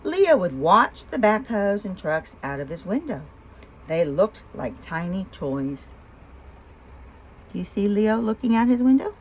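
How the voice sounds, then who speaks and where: A woman's voice: medium pitch, sing-song, measured pace, a little hiss or hum, poor audio. A woman hosting a children's read-aloud, telling the story and putting questions to young listeners. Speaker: storybook reader and host.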